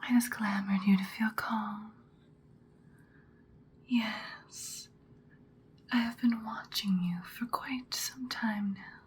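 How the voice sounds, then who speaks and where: A woman playing a seductive vampire queen in an ASMR roleplay, whispering breathily in a soothing, predatory, deliberate way. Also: medium pitch, steady tone, a little hiss or hum, natural voice. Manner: slow, deliberate, hypnotic soothing.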